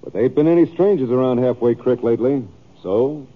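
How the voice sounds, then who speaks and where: An American actor playing a stubborn, self-justifying cattle rancher with folksy, rough grammar in a radio western. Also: male voice, mid-range voice, a little fast, lightly acted. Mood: insistent. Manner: folksy, ungrammatical. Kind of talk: 1950s radio western acting. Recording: thin, phone-like old radio recording.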